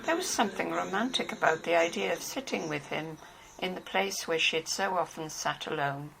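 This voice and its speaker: A woman's voice: fairly low pitch, a little fast, gravelly, music in the background, poor audio. A woman narrating a novel from a script. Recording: faintly hissy home recording.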